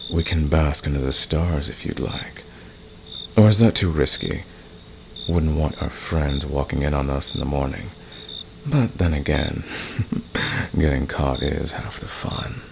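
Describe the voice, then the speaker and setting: A man acts out a seductive fantasy villain in an intimate ASMR audio roleplay, with crickets and insects clearly audible behind him. His voice is extremely low and gravelly. He teases and flirts softly, with a caring streak underneath. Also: heavily acted. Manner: teasing, seductive, soft-spoken. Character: flirtatious, teasing male fantasy villain, secretly caring rebel. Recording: noisy, with nighttime crickets and insects. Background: cricket and insect night ambience.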